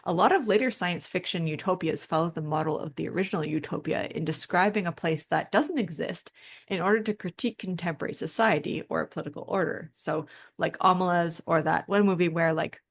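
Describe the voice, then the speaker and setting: A woman co-hosting a podcast, explaining and discussing things in an informal, conversational way. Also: low-pitched. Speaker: podcast co-host.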